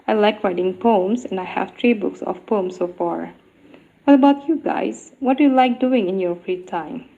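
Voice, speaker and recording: A woman from Indonesia reading a slow, careful self-introduction as a tutor. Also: medium pitch, vocal fry, warm tone. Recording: dull, lo-fi recording.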